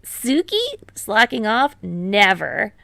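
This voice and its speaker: A woman voicing a friendly, teasing, slightly anxious teenage boy in an audiobook, with an expressive manner. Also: medium pitch, takes it slowly, vocal fry, no echo, voice pushed up, exaggerated voice. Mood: mock offense. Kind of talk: audiobook character voice.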